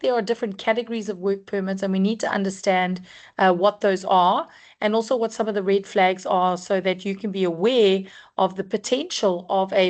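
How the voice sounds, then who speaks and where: A woman from South Africa with a croaky voice, presenting a work-compliance webinar in a measured, explanatory way with frequent ums. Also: mid-range voice. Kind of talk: scripted-free webinar talk.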